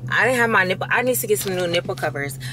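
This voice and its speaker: A woman's voice: mid-range voice, expressive, rapid-fire, over music. A young woman, chatting casually to her viewers as a vlogger. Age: young adult. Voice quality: raspy. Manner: chatty, addresses viewers as y'all. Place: inside a car. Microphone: camera at arm's length on the dashboard. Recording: car cabin with road noise.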